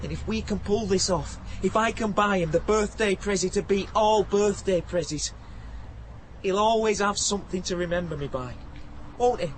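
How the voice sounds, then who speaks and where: An actor playing an anxious, earnest working-class dad with a colloquial Northern English accent in a radio play. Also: male voice, sits high, moderate pace, natural voice, poor audio. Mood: pleading. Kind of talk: naturalistic radio play acting. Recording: outdoor scene with faint traffic ambience noise.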